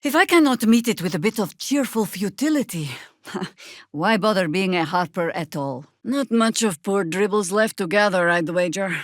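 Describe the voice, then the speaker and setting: An actress playing a stern, wry, middle-aged druid veteran in a video game, speaking in a measured, commanding way. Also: female voice, mid-range voice, lively intonation, dry recording, clean studio sound, natural voice. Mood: grave, measured. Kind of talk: video game character dialogue and spell shouts.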